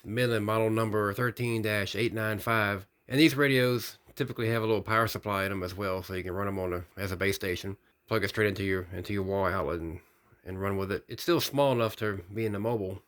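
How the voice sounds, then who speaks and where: Male hobbyist host, lowish pitch, informal hands-on narration with a musing, tentative delivery. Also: steady tone, fast, a bit bright. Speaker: radio hobbyist video host. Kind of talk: casual unboxing narration.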